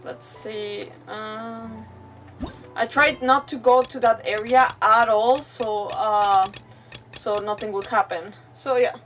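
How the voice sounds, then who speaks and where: A young woman streamer from Mexico, native in Spanish, with a high voice and a bubbly, rambling way of chatting. She sounds a bit away from the mic, with faint game music behind. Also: fairly even intonation, slow pace, fairly bright tone, recorded from a distance, rough recording. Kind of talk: casual livestream chat while playing.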